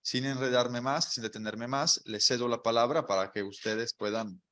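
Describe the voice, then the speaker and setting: Male moderator of middle pitch, reading a formal guest introduction. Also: steady tone, normal speed, a bit bright. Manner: formal, reads speaker bio. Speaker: conference moderator.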